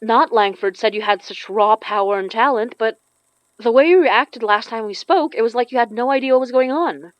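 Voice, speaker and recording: An actress playing a blunt, knowledgeable magic practitioner in an audio drama, direct and questioning but helpful. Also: female voice, a little high, moderate pace, no echo, muffled recording, close to an everyday voice. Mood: blunt, puzzled. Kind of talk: naturalistic audio drama acting.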